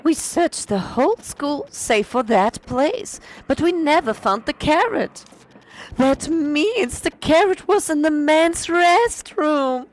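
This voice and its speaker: A hoarse woman voicing a cheeky, boastful young female witch student. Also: sits high, slow, voice pushed up, rough recording. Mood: excited. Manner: bratty, boastful, raised excited delivery.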